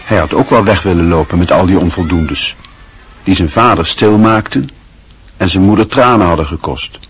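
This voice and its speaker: A man narrating a radio play in a calm, measured storytelling manner. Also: deep voice, noisy background.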